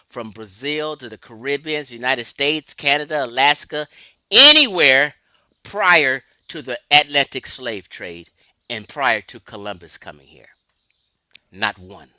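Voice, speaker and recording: A man's voice: a little high, sharp tone, no echo. A man from Los Angeles giving an emphatic, lecturing livestream talk, answering his chat and laughing often. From Los Angeles, California. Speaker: livestream host.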